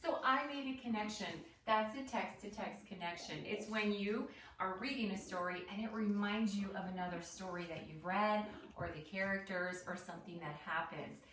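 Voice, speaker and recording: A woman's voice: medium pitch, normal speed. A woman teaching young children in a read-aloud lesson, explaining things simply and encouragingly with frequent check-in questions. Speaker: elementary teacher. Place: indoor room with pet dogs nearby. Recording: roomy echo, distant mic, background noise.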